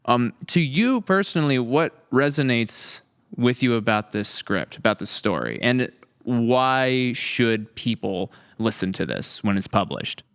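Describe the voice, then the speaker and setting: Male podcast host, smooth mid-pitched voice, playful and conversational. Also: sing-song, a little slow, fairly bright tone. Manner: playful, joking. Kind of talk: casual joking group chat.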